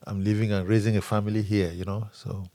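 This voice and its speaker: An older man from Cameroon introducing himself in an interview, unhurried and trailing off quietly. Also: lowish voice, little pitch movement, dry recording. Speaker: interview guest. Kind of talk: casual interview.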